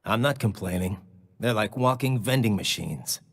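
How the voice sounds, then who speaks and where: An actor playing a grim, sardonic cyborg soldier in a video game, with a gravelly, husky voice and terse dry delivery. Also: male voice, on the low side, normal speed, a bit bright, long reverb tail, full character voice. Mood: cold. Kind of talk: video game cutscene acting.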